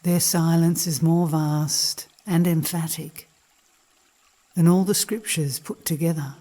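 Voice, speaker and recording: Very slow, mellow woman reading a meditation. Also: deep voice. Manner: calm, measured, contemplative. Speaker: guided meditation reader. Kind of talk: slow guided meditation reading of spiritual teachings.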